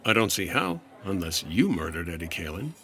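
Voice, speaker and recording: A man's voice: low voice, animated, fairly quick, sharp tone, slightly rough, exaggerated voice. A man playing a patient, wry 1950s insurance investigator in old-time radio detective style, dry and understated. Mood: curious, patient. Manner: dry, understated, world-weary.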